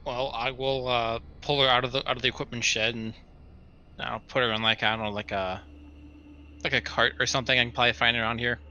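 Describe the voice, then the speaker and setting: A man's voice: medium pitch, level tone, fairly quick, bright, forceful tone, clean tone, dull audio. A man playing a tabletop roleplaying game, talking casually and tentatively as he reasons out his moves aloud. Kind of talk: casual in-game talk, speaking as his character. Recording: noticeable background noise.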